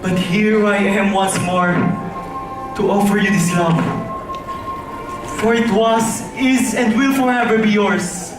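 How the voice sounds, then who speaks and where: A teenage boy playing a proud, earnest young gentleman suitor, reciting formally in an amateur stage style. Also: sits high, steady tone, takes it slowly. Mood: tender, ardent. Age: teens. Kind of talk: declamatory amateur stage acting. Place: large indoor hall, school stage play. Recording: echoey hall, distant mic, loud music behind.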